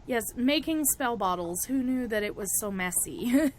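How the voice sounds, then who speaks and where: A young woman with a cold-roughened, raspy voice, chatting casually through a spell-making tutorial and laughing often. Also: on the high side, expressive, normal speed, a little hiss or hum. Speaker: witchcraft vlogger.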